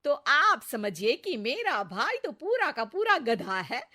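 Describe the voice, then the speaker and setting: A scheming cartoon family member acted by a woman, sly and conversational. The voice is fairly high and mostly unhurried. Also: sing-song, pushed and bright, exaggerated voice. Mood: explaining. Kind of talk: cartoon comedy acting.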